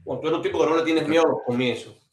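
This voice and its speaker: A man's voice: a little high, rapid-fire. Man interviewing in a roomy space. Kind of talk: conversational interview.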